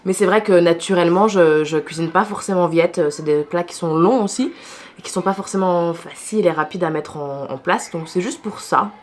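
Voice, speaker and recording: A woman's voice: lowish voice, moderate pace. Chatty French woman vlogging at home. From France. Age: 30s. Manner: chatty, laughing, many fillers. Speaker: vlogger and chef. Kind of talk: casual vlog chat.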